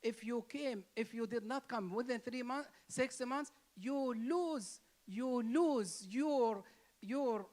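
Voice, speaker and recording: A man's voice: very high voice, slow, darkish tone. A man from Palestine, a native Arabic speaker with a Palestinian Arabic accent, giving an impassioned, emphatic speech at an interfaith gathering. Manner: emphatic, repetitive, rising to stress key words.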